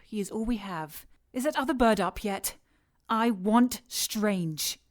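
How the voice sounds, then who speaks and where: An actress playing a cold, commanding leader of covert operatives in an audio drama, giving curt orders. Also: female voice, medium pitch, takes it slowly, lightly acted.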